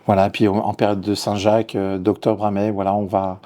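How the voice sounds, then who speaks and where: A man's voice: fairly low pitch, flat delivery, brisk. A middle-aged man from Lyon, a chef and restaurant owner, answering interview questions with frequent restarts and repeated words. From Lyon, France. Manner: hesitant, repeats words, warm about his work.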